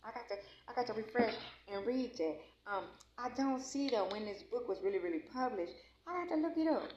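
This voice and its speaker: A woman's voice: on the high side, lively intonation, darkish tone. Rambling woman in an echoey room. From Chicago. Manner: rambling, reads aloud haltingly with many ums. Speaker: book reviewer. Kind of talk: casual book review vlog. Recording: echoey room, muffled lo-fi with faint noise.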